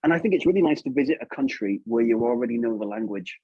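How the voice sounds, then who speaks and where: Male, English accent, soft dark tone with a husky edge, chatty guest delivery full of tag questions. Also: fairly high pitch, expressive, quick pace, no room sound, dull audio. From England. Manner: informal, chatty, seeks agreement with tag questions. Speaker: guest puppeteer. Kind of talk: casual interview chat.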